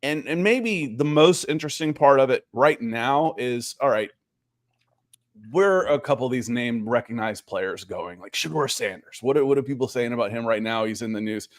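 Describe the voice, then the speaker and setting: Male podcast host, chatty and animated, mid-pitched, dry close sound. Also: moderate pace, no echo. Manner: chatty, animated, reads chat messages aloud. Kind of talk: casual sports podcast chat.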